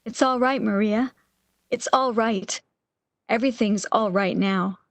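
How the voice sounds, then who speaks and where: Lady acting as frail clingy woman in a radio play, high pleading voice, really dry sound. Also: measured pace, no echo. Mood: resigned wonder. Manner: pleading, emotional, theatrical. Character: frail, lovesick woman, fearful and clinging, longing. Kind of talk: amateur radio drama acting.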